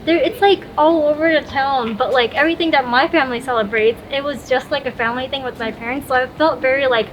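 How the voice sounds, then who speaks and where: A woman's voice: sits high, fast, hardly any pauses, a little hiss or hum, low-quality recording. A young woman from Florida, a guest in a casual conversation, chatty and giggly, sometimes tripping over her words.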